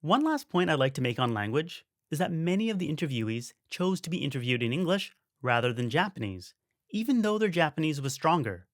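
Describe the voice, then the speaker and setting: A man narrating a documentary in an even, explanatory way. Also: a little high, a little fast, dry recording, studio quality. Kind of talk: scripted narration.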